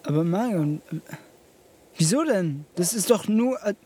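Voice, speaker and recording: A man's voice: high-pitched, expressive, measured pace, dark tone. Awkward, earnest young man, faint background noise. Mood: stunned, pleading. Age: young adult. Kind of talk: radio drama dialogue.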